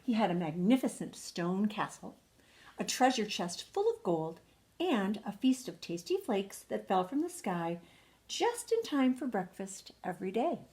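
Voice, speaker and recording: A woman's voice: medium pitch, sing-song, measured pace, darkish tone. An older woman, a retired teacher, reading a children's bedtime story expressively, drawing out words for emphasis. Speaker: retired second-grade teacher.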